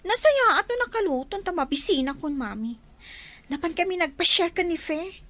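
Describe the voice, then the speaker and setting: A woman's voice: extremely high pitch, lively intonation, normal speed, bright, forceful tone, heavily acted. A woman playing a lazy, whiny teenage college girl in an Ilocano radio drama, with an Ilocano accent. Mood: defiant.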